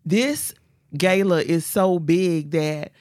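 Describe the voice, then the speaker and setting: A woman with a naturally deep voice, hoarse from losing it, chatting as a podcast co-host in a lively, joking way. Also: slow, dry recording, studio quality. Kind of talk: casual chat.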